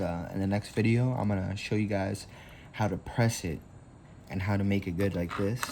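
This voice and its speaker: Twenty-something guy doing a chill craft tutorial, lowish warm voice, lots of uhs. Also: gravelly, slight background noise. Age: 20s. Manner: rambling, relaxed, lots of fillers.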